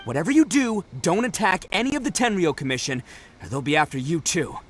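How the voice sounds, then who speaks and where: A rowdy, cocky young oni brawler whose voice rides high and swings widely. He talks with boisterous swagger over light game music. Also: animated, a little fast, a bit bright. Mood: confident. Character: loud brash young male oni brawler, boastful.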